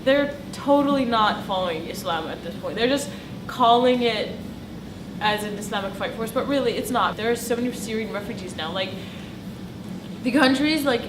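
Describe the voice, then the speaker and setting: A woman in her late teens, a high school student, answering casually in an interview. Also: moderate pace. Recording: echoey noisy room, distant mic.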